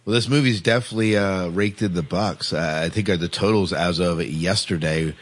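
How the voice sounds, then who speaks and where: A man hosting a casual podcast discussion, speaking a little haltingly and repeating words. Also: deep voice. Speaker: podcast host.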